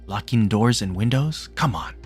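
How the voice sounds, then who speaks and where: A forceful, suspicious man in a visual novel mystery, acted out by a male reader. He is insistent and argumentative, with quiet music behind him. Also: lowish voice, animated, normal speed, natural voice. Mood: argumentative, alarming. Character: forceful, suspicious man pushing to confront a killer.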